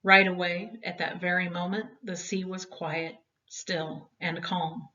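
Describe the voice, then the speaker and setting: A woman's voice: on the low side, steady tone, a little slow, pushed and bright. A woman reading a children's Bible story expressively, with dramatic, emphatic phrasing meant for young listeners. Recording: dull, distant home-style recording.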